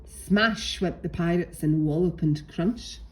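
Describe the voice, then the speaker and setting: A woman narrating a rhyming picture book in an expressive, measured and dramatic storytelling style. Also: on the low side.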